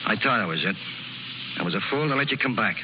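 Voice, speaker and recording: A man's voice: fairly low pitch, animated, fast talker, lightly acted. An actor playing a tough, protective circus canvas man with blunt, slangy talk in a 1930s radio drama. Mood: concerned, coaxing. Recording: muffled, noisy 1930s radio recording.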